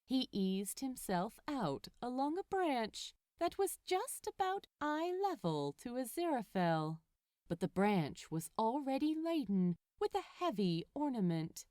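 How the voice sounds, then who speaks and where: A woman reading a story aloud as narrator, with expressive, deliberate phrasing. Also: on the high side, no echo, clean studio sound.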